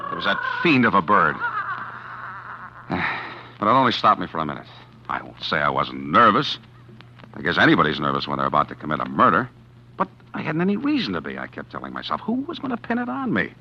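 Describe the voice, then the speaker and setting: A man's voice: sits low, huge pitch swings, brisk, sharp tone, slightly rough, full character voice. An actor playing a calculating man who narrates his plan to poison his wife in a 1940s radio drama, hard-boiled and confiding, with nervous stammers. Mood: brooding. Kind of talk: first-person narration in 1940s radio acting. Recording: dull 1940s radio recording with music underneath. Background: music.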